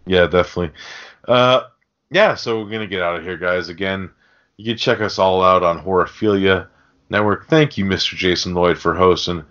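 A man with a very low voice hosts a casual podcast. He talks informally and chummily to guests and listeners. The audio is dull and lo-fi. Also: normal speed, a bit bright. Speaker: podcast host.

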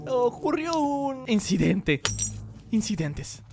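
A woman's voice: fairly high pitch, very animated, slow pace, full character voice. A woman with a Latin American Spanish accent voicing a chatty, nervously cheerful young male cat in a cartoon fandub. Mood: nervously upbeat. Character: excitable, scatterbrained young male cat, eager to please. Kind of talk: animated cartoon fandub acting. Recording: music behind the voice.

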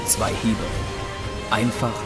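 A man narrating a scripted dramatic story for an audio drama in short, deliberate, measured phrases. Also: on the low side, level tone. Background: music.

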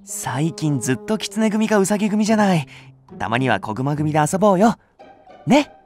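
A man's voice: high-pitched, big pitch swings, normal speed, a little mellow, soft background music. An actor playing an earnest, easily flustered rookie male nursery teacher, boyish and eager, in an anime drama. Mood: pleading, eager.

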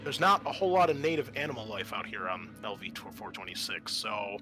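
A man narrating a tabletop roleplaying game as game master, in a halting, conversational way. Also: on the low side, very animated, bright, forceful tone, faint music behind. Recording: faint background noise.

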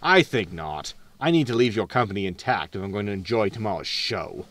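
A man's voice: on the low side, animated, a little fast, a bit bright, no echo. A man playing a roguish, wry spaceship captain with a laconic manner in a sci-fi comedy audio drama. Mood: smug, dry. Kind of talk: sitcom audio drama acting.

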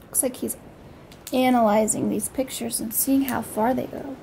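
High-pitched young woman with creaky voice. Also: fairly even intonation, normal speed, dark tone, rough recording. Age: young adult. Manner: simple, questioning, wondering, as if to young children. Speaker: read-aloud storyteller. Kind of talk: picture book read-aloud, narrating pictures and asking questions. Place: small room at home, indoors. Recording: noticeable background noise, home recording.

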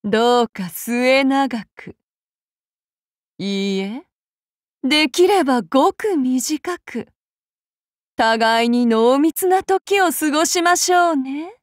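A woman's voice: high voice, takes it slowly, long pauses, dry recording, clean studio sound. Sweetly menacing demon warrior woman. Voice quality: soft, polite yet threatening delivery. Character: cruel demon-blooded female warrior servant, sweetly menacing. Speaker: game character voice. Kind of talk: anime game voice acting.

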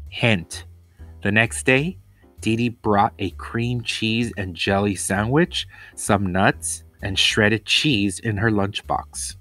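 A man reading a children's story aloud in a deliberate, expressive narration with pauses. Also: fairly low pitch, no echo.

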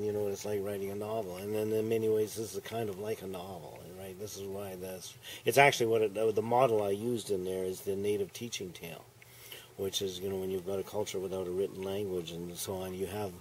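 A man's voice: fairly low pitch, a little mellow, slight background noise. A man in his sixties, an author, chatting on an outdoor farm patio and rambling with a trailing "right?". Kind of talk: relaxed interview chat.

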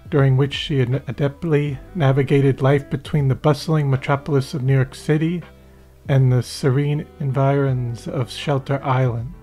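A man's voice: mid-range voice, dark tone. A man hosting a local podcast, reading a formal, wordy introduction and then asking questions. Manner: formal, ornate wording. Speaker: podcast host. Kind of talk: scripted introduction and interview questions.

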